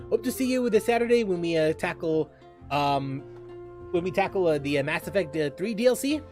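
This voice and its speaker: A man with a high-pitched voice talks casually as he streams a game. His delivery is halting, with phrases often repeated or started over. Music plays behind him. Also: darkish tone. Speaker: livestream host, gamer. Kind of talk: casual livestream chat while gaming.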